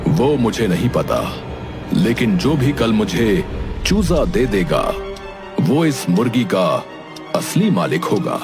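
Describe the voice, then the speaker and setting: A shrewd, stern village headman from a children's cartoon, played by a man. His delivery is authoritative and deliberate with wide swings in pitch, over prominent music. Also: very animated. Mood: commanding. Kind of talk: Hindi children's cartoon voice acting. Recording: prominent music bed under voice.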